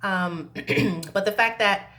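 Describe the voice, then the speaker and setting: A woman talking to camera about self-healing, hesitant with many pauses and restarts, her voice still a little rough from laryngitis. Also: medium pitch, a bit bright. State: recovering from laryngitis, slight roughness. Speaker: self-help vlogger. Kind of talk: reflective talk to camera. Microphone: camera mic.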